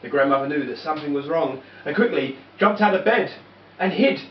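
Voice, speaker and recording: A woman's voice: low voice, animated, fairly quick, distant mic. A woman telling a children's story as an amateur puppet-show narrator, sometimes stumbling over her words. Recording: dull, noisy, echoey home-video from afar.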